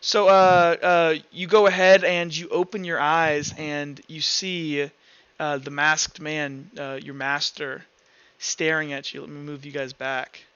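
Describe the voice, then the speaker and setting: A man with a high, forceful voice acts as game master at a tabletop game. He narrates casually and haltingly, talking straight to the players. The sound is dry and a little dull. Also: flat delivery, clear, resonant tone, no room sound.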